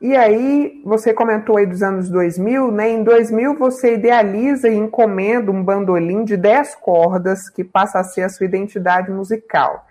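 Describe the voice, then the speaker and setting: Woman presenter reading a clear script. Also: medium pitch, normal speed, few pauses, a little mellow. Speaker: TV interviewer. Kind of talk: scripted presenter intro and interview.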